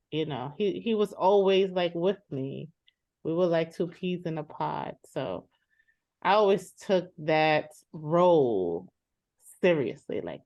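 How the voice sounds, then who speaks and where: A weary, protective older sister, played by a woman speaks low and unhurried, hesitating and letting her sentences trail off. The sound is a little dull. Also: darkish tone. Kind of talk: improvised dramatized therapy session.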